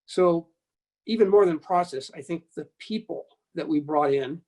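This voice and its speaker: An older American man giving reflective panel remarks in short, measured, halting phrases. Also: a little high, darkish tone. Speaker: panelist, former broadcasting board member.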